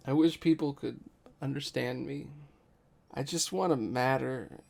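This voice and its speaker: A man playing a sad, misunderstood young son in a fantasy musical, soft and plaintive. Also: mid-range voice, unhurried, creaky. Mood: wistful, sad.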